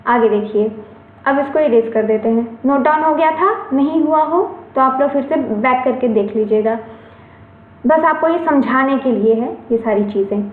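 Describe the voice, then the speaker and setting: A woman's voice: sits high, moderate pace. A woman teacher giving an exam-prep lesson, explaining each point step by step and often checking in with her students. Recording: echoey, phone-like lo-fi recording.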